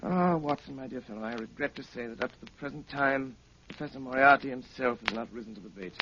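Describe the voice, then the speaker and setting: An actor playing a brilliant, composed gentleman detective in a 1930s radio drama, urbane, courteous and wryly commanding. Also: medium pitch, fast talker, close to an everyday voice. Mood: wry, unruffled. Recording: muffled, crackly 1930s radio broadcast.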